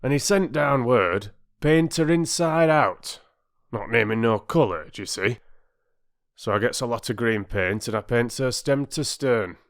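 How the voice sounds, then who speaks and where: A man doing a gruff, aggrieved bargeman. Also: mid-range voice, a little slow, pitched above the performer's own voice, close to an everyday voice. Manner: rough dialect, grumbling complaint.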